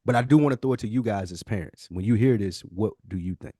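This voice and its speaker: A middle-aged man with a fairly low voice, recorded dry. He hosts a casual discussion in a conversational, slangy way and swears freely. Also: fast talker, mellow, no room sound. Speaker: podcast host.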